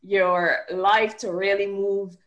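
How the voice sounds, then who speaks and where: A woman from Barbados with a Barbadian accent, a guest author and coach talking through an interview in animated, rhythmic runs of points. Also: medium pitch, slow, muffled recording. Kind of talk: podcast interview conversation.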